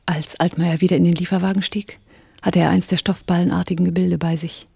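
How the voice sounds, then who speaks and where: A cool crime-story narrator for radio. Her low, mellow voice is husky and stays flat and sober. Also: brisk, no echo, clean studio sound, heavily acted. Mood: detached. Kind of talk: scripted radio drama narration.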